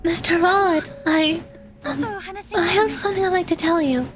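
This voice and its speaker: A woman's voice: very high voice, moderate pace. A woman voicing a female bystander character in a scripted video game scene. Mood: shy. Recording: music behind the voice.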